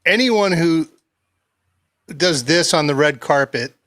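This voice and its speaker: A man's voice: a little high, animated, slow pace, fairly bright tone. A man co-hosting a live discussion show, laid-back and joking, swearing casually. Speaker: co-host. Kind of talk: casual live panel talk.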